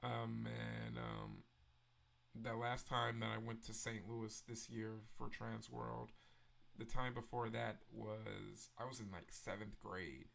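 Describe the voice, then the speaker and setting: A man's voice: lowish voice, slow, vocal fry, a bit bright. A man from Memphis, hosting a live stream and chatting casually as he answers viewers' messages. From Memphis, Tennessee. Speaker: livestream host.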